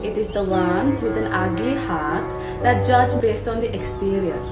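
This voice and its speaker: A woman voicing a kindly, wise old male snake who counsels slowly in a puppet story, reassuring and a little preachy. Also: medium pitch, pitched below the performer's own voice, natural voice. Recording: roomy sound with music underneath. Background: music.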